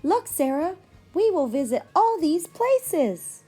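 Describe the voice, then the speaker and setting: A woman reading a storybook as an excited, curious young girl who exclaims eagerly. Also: very high-pitched, slow pace, soft background music, pitched above the performer's own voice, full character voice. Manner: exclaiming, wide-eyed and eager.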